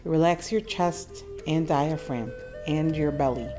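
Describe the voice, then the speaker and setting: Female meditation guide, low register, calm and deliberate delivery with pauses, over clearly audible music. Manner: soothing, measured, with deliberate pauses.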